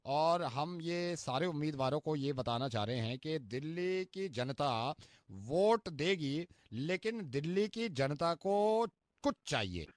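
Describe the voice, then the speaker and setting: A man's voice: a little high, lively intonation, slow, no room sound. Man doing radio prank calls, dry close sound. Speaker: radio prank-call host. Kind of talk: prank phone calls in character.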